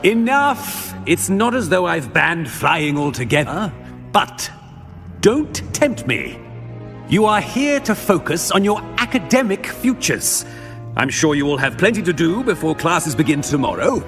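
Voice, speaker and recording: A snooty british headmaster, haughty and cranky, high swoopy voice, video game. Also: male voice, very animated, unhurried, a bit bright, soft background music, exaggerated voice. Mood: stern, irritated. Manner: haughty, clipped, imperious announcing. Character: pompous, irritable older male school headmaster.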